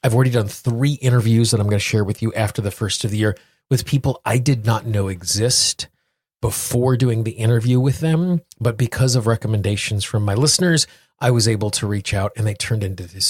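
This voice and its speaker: A man with a fairly low, slightly husky voice talks as a solo podcast host. He phrases things earnestly and deliberately, often repeating for emphasis. The sound is close and dry. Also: no room sound. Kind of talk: solo podcast talk with guided affirmations.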